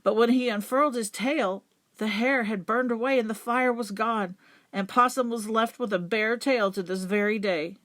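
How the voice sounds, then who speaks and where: A woman reading a children's folktale as a storyteller, with dramatic, deliberate emphasis. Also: on the high side, a bit bright. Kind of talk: expressive children's folktale narration.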